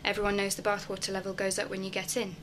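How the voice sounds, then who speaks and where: A woman's voice: mid-range voice, monotone, rapid-fire, a bit bright, natural voice. A young woman acting in a radio play, delivering scripted personal monologues. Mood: indignant. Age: young adult. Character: wistful young woman longing for home.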